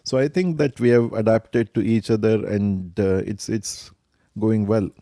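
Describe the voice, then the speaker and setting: A middle-aged man, answering family interview questions in a measured, reflective way. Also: on the low side, fairly even intonation, warm tone, no echo, clean studio sound. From India. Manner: measured, reflective, some hesitations. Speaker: interviewee, stepfather.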